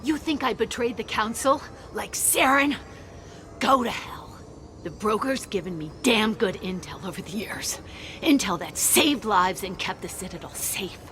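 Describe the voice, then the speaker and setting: A woman voicing a ruthless, scornful female alien secret agent in a cinematic video game, speaking forcefully. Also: medium pitch, expressive, a little slow, slight background noise. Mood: angry, defiant.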